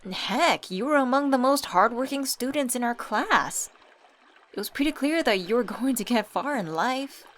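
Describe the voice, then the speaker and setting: A woman voice actor playing a supportive, gently teasing young best friend in a scripted ASMR roleplay, talking casually and intimately. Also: a little high, lively intonation, normal speed, slight background noise. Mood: encouraging.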